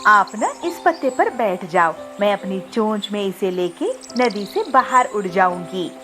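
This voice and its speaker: A woman's voice: mid-range voice, expressive, unhurried, over music, natural voice. A woman voicing a kind, helpful female pigeon in a children's cartoon story, speaking in a friendly, earnest way.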